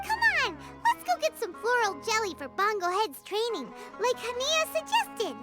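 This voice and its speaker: A woman's voice: extremely high pitch, wildly swooping pitch, normal speed, loud music behind the voice, heavily acted. A woman voicing a small, cheerful, childlike fairy companion in a video game, bubbly and enthusiastic. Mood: excited, bubbly.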